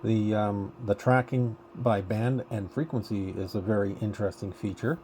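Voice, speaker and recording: Male radio hobbyist, dark relaxed tone, casual and hesitant, thinking out loud, slightly noisy signal. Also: lowish voice, normal speed. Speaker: amateur radio operator. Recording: noisy amateur radio digital voice contact.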